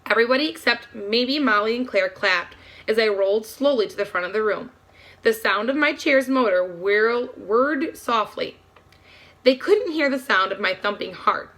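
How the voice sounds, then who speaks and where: A woman reading a children's novel aloud as an expressive storybook narrator. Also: on the high side, moderate pace.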